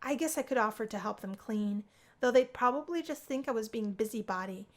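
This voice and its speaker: A woman reading a first-person visual novel aloud as expressive story narration. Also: a little high, a little fast.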